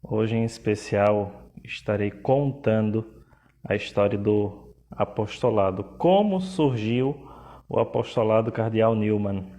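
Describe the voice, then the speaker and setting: Slow, soft-toned Pernambuco-accented priest. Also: male voice, lowish voice. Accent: Pernambuco Portuguese. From Pernambuco, Brazil. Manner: slow, measured, with frequent hesitations. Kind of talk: livestream talk.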